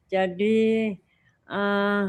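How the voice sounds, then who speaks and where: A woman's voice: mid-range voice, level tone, very slow, clean tone. A woman in her sixties with an Indonesian accent, speaking as a talk guest in a casual, chatty, colloquial way. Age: 60s. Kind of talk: casual chat.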